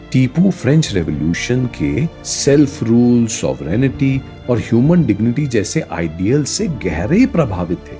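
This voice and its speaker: Enthusiastic history teacher, Indian accent, very lively intonation, mellow tone, conversational radio play, soft music. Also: male voice, mid-range voice. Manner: animated, encouraging, asks rhetorical questions. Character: enthusiastic male teacher explaining history to a student. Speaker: teacher and storyteller.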